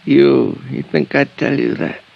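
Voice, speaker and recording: An actor playing a dying, bitter small-time burglar in an old radio crime drama, speaking in halting, clipped fragments. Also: male voice, mid-range voice, fairly even intonation, creaky. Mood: defiant, mocking. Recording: dull old radio recording.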